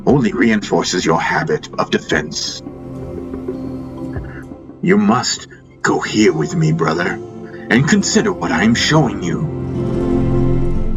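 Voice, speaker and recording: A man's voice: deep voice, full character voice. Man playing an emphatic, exasperated spiritual master. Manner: emphatic, preaching, pausing between phrases. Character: loving but exasperated male spiritual master, admonishing a king. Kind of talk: dramatic fantasy audiobook dialogue. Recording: music behind the voice.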